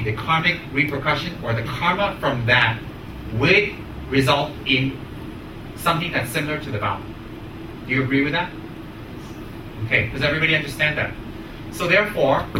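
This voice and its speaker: American man teaching in an echoey room. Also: on the high side. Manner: emphatic, deliberate, short repeated phrases. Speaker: Buddhist teacher. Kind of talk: spoken teaching with questions to students. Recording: echoey room, distant mic, clear noise.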